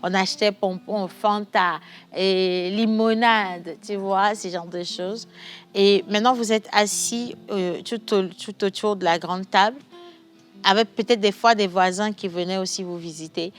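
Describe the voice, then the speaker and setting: A woman's voice: mid-range voice, a little slow, soft background music. A woman hosting a studio talk show in Togolese-accented French, chatting animatedly and reading viewer messages aloud. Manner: animated, laughing, shifts from chatty to soft.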